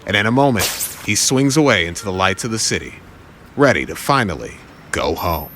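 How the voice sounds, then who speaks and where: Deep, animated male dramatic narrator. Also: a little fast, slight background noise. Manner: wry, theatrical.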